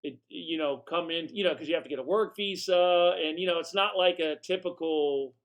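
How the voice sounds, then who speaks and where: A man interviewing his guests, asking halting questions padded with fillers. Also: fairly high pitch, highs cut off.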